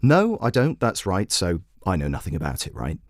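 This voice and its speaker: A man voicing a brisk female radio phone-in host who questions and persuades in a measured way. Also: low voice, wildly swooping pitch, no echo, clean studio sound, pitched below the performer's own voice, full character voice. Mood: firm, challenging. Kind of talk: talk radio presenting.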